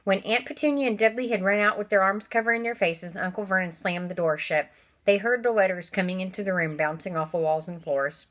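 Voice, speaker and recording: A woman reading a children's novel aloud, with animated character voices and chatty asides to young listeners. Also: mid-range voice, a little fast. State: coughing from allergies. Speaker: reader.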